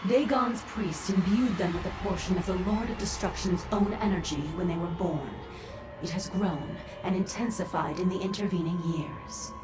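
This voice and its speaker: A cold otherworldly sorceress played by a woman speaks in an echoing space over music. Her lowish voice is formal and measured, with the haughty composure of a fantasy game mentor addressing a lesser being. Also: lightly acted. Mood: composed, imperious. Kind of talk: video game character dialogue.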